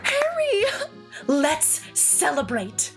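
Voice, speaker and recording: A very high-voiced cheeky flirt. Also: female voice, huge pitch swings, very slow, full character voice. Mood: teasing. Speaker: musical theatre actor. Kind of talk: musical theatre singing and dramatic acting. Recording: music under the voice.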